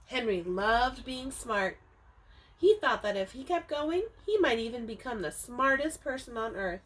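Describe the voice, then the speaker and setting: A woman teacher reading a picture book aloud to young children, expressively, with dramatic emphasis and drawn-out words, speaking to them directly. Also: on the high side, lively intonation, a bit bright, slight background noise, low-quality recording. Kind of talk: animated children's storybook read-aloud.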